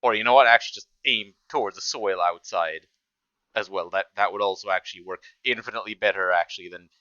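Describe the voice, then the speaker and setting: A man's voice: sits low, quick pace, bright, forceful tone, clean tone, no echo, lo-fi sound. A man giving casual, rambling, amused commentary as the host of a reaction video.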